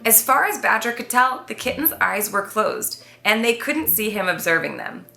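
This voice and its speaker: A woman, a children's author, reading and presenting her own storybook in an expressive read-aloud style. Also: on the high side, normal speed, creaky. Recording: roomy echo, faint music behind.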